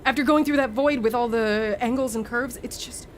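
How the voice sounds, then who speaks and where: An actress playing a haunted, anxious young student in a radio play, with a halting, uneasy delivery. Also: female voice, on the high side, slight background noise, close to an everyday voice. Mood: anxious, weary. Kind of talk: dramatic radio play acting.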